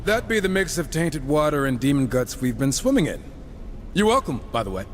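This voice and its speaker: A man's voice: fairly high pitch, big pitch swings, fairly quick, a little hiss or hum. An actor playing a cocky, irreverent gunslinger horseman in a video game, with a sarcastic, breezy delivery. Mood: sardonic. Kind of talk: video game cutscene acting.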